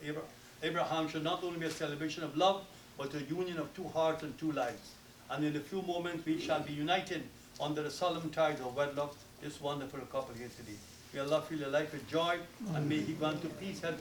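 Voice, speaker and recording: A man from Guyana with a Guyanese accent, officiating a wedding and giving a solemn, formal sermon with rapid Arabic recitation. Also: a little high, roomy sound, recorded from a distance, slight background noise, rough recording.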